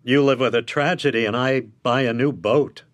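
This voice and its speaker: An actor playing a shrewd, blunt defence lawyer in a radio drama, lecturing in an emphatic, articulate way. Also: male voice, mid-range voice, normal speed, close to an everyday voice. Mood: coolly firm.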